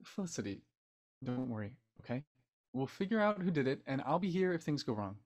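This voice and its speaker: A man's voice: medium pitch, sing-song, fairly quick. A young man playing a kind, hesitant scriptwriter in an audio drama. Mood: reassuring, awkward. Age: young adult. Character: kind, awkward, reassuring young male scriptwriter.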